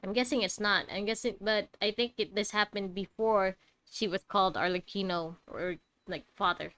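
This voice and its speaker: A woman's voice: mid-range voice, fairly even intonation, normal speed, a bit bright. A woman giving casual, wry, reactive commentary as she plays a video game live. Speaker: game streamer. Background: faint game music.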